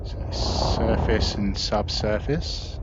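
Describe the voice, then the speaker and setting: A woman playing a video game, thinking aloud in relaxed commentary and speaking voice commands to the game. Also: very deep voice, takes it slowly. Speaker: gameplay commentator. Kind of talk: casual gameplay commentary. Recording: dull sound over loud engine noise.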